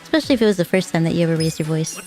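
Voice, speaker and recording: A young woman streaming a game, chatting softly and casually with viewers, often repeating words as she goes. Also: on the low side, animated, music in the background. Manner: soft-spoken, halting, repeats words. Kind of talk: casual gaming stream chat.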